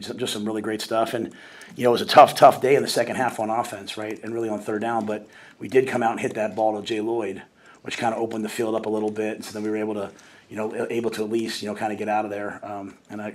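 A fast-talking man in his forties speaks at a podium during a postgame press conference, his voice sitting low. He rattles through his answers conversationally, restarting sentences and leaning on fillers as he goes. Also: slight background noise. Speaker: head football coach. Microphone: podium mic.

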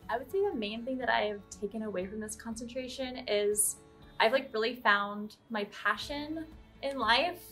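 A young woman design student answering interview questions in a relaxed way, saying like often. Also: on the high side, normal speed, faint music behind. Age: young adult.

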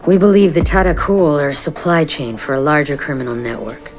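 Blunt, tough woman over loud music. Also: low voice, expressive, brisk. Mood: firm, blunt. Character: tough, cool-headed, no-nonsense woman street racer. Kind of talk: video game cutscene acting.

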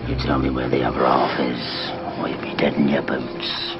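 A man's voice: very deep voice, level tone, normal speed, music in the background. A man playing a greedy, menacing pirate captain in an adventure film, growling his threats. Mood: threatening. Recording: muffled film clip with score.

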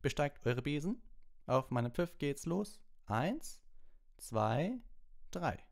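Man as woman referee, brisk, commanding, pitch raised well up, audiobook character. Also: big pitch swings, vocal fry, exaggerated voice. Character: brisk female sports referee and teacher.

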